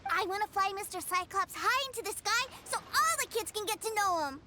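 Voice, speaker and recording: A woman playing an innocent, excitable little boy with a childlike voice in a video game. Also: extremely high pitch, fairly quick, very noisy. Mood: eager. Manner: excitable, wide-eyed. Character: eager, innocent little boy adoring his big brother.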